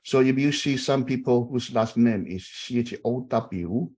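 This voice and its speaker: A man's voice: mid-range voice, a little slow, a little mellow. A middle-aged man from Taiwan, teaching a university class over a video call with many fillers and repeated okays. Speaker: university instructor. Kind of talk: online class, informal chatting.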